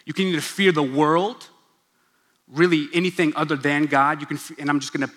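A young man preaches a casual sermon, full of jokes and asides to the crowd. His voice sits fairly high and sounds bright and forward. A long hall reverb trails after every line. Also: steady tone, fairly quick. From Oklahoma, USA. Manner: chatty, joking, asides to the crowd. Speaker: pastor. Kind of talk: casual sermon in a church gathering. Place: large church hall.

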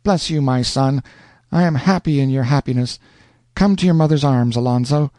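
A woman's voice: extremely low pitch, moderate pace, dark tone, no echo, clean studio sound. A man voicing a doting older mother who exclaims and blesses her son. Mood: delighted.

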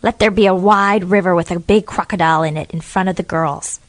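A woman voicing a wicked old witch in a children's story, loud and cackling in a raised voice. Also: fairly low pitch, fairly even intonation, fairly quick, no echo, lightly acted. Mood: gloating.

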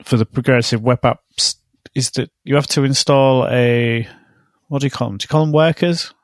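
A man's voice: medium pitch, fairly even intonation, moderate pace, dry recording, studio quality. A man with a cold who is losing his voice, co-hosting a podcast in a tentative, casual way, often ending on tag questions. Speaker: podcast co-host. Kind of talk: casual tech chat.

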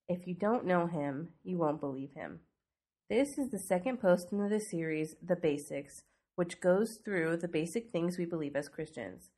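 A congested woman with a snuffly nose and a fairly low voice, teaching on her devotional podcast. She talks earnestly and conversationally, asking her listeners questions as she goes. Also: darkish tone. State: congested from a cold. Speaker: podcast host.

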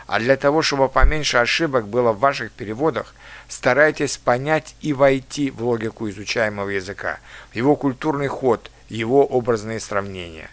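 A man who teaches languages and translates, lecturing in a measured way and reading foreign phrases slowly and carefully. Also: mid-range voice, a bit bright.

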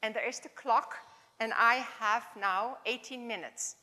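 A woman in her seventies with a Dutch accent, giving an informal, halting chapel talk in English as a second language. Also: a little high. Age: 70s. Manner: warm, halting, non-native phrasing. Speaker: chapel speaker. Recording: long hall reverb.

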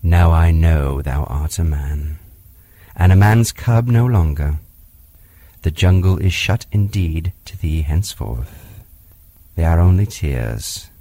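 Man doing a low slow storybook panther, calm and wise, kinda husky. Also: no echo, heavily acted. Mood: grave, gentle. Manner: gentle, grave, archaic speech.

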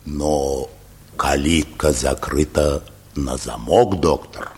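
An actor playing a grave, anxious manservant in a radio play, speaking in a halting, solemn manner. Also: male voice, very deep voice, darkish tone, slightly rough, full character voice. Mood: grave, subdued. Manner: slow, halting, solemn delivery. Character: grave, anxious manservant bringing news of death.

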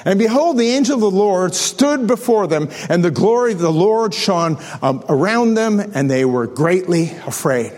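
A man's voice: high-pitched, expressive, moderate pace, few pauses, darkish tone. A man in his sixties preaching a sermon, reading scripture and telling self-deprecating jokes. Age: 60s. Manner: storytelling, self-deprecating humor. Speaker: pastor. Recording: echoey hall with long reverb.

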